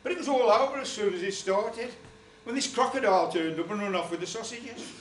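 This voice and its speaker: A man's voice: sits high, a little fast. Older man telling a rambling comic tale. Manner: chatty, rambling, lots of "you know" asides; gestures broadly while standing. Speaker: storyteller. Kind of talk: comic spoken-word tale. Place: small folk club room, indoors. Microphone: far camera. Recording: roomy, distant camera audio.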